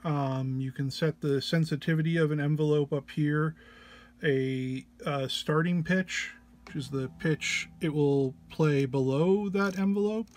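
A man who is under the weather gives an informal tutorial walk-through, explaining haltingly and hesitantly. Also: on the high side, darkish tone. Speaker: presenter of a gear walk-through.

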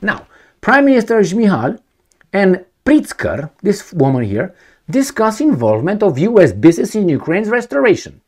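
A man's voice: a little high, a little mellow. A middle-aged man, from Romania, talking to camera in a home study, emphatic and repetitive, asking rhetorical questions. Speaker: video commentator. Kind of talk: casual to-camera commentary. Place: home study with bookshelves, indoors.

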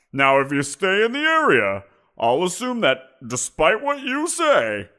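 A man performs a greedy giant creature who toys with his captive, his voice climbing and swooping with mocking amusement. He lingers over his words, slow and deliberate, smug in his power. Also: fairly high pitch, fairly bright tone, heavily acted. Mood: teasing. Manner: playful, teasing, drawn-out. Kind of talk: audio roleplay.

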